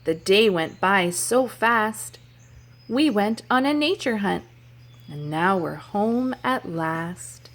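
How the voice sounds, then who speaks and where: A woman childcare educator telling stories and playing with toddlers in a sing-song, animated, child-directed way, using simple phrases. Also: mid-range voice, takes it slowly. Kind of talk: sing-song picture book storytelling and play for toddlers.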